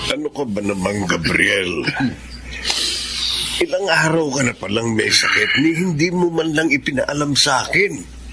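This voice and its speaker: Gravelly, caring Filipino older brother. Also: male voice, mid-range voice, expressive, unhurried, loud music behind the voice. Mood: concerned, chiding. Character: caring, gently scolding older brother giving counsel. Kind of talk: Filipino radio drama acting.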